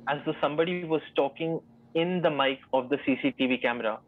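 A man's voice: a little high, quick pace. A man with an Indian accent telling a ghost story conversationally, with dramatic repetition of key phrases. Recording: tinny band-limited call, music behind. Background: music.

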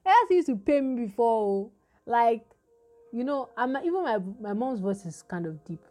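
A young woman with a Nigerian accent and a naturally deep voice, telling a story in casual chat and acting out the lines of the people in it. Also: moderate pace. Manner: animated storytelling with quoted dialogue.

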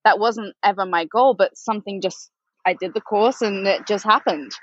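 A woman in her thirties from Spain, talking as a podcast guest in a chatty, rambling way. Her voice sits at a middle pitch and sounds dry, with no room echo. The recording is a little dull. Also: moderate pace, breathy edge. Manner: chatty, rambling, with frequent ums and laughs. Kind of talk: conversational interview.

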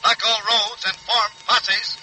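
An actor playing a police dispatcher, reading clipped, urgent official manhunt bulletins in a 1940s radio crime drama. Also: male voice, sits high, level tone, takes it slowly, sharp tone, soft background music, heavily acted. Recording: faintly noisy 1940s radio recording.

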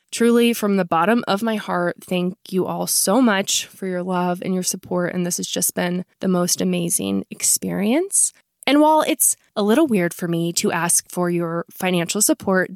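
Woman podcast host, middle pitch, dead-dry close sound, plain-spoken and profane. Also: no echo. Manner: blunt and profane, self-mocking. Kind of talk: casual podcast talk.

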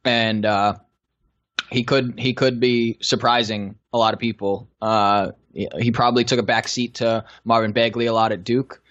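A man making his draft pick in a steady, measured way, with some fillers along the way. His voice sits at a middle pitch. The recording is a little dull and lo-fi. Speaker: podcast panelist. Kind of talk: casual sports draft chat.

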